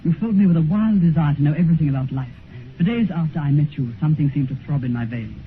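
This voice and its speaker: An actor playing a passionate, impressionable and petulant young gentleman with an upper-class English accent, earnest, in a 1950s radio drama. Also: on the high side, quick pace, close to an everyday voice. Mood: ardent. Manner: earnest, ardent. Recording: tinny, hissy 1950s radio recording.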